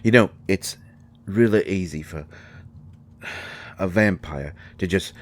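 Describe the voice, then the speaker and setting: An actor playing a grieving, gruff and defensive fairy husband in a roleplay audio drama, speaking haltingly and with raw emotion. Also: male voice, sits low. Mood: hurt, bitter. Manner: halting, emotional, swinging from snappish outbursts to quiet murmurs.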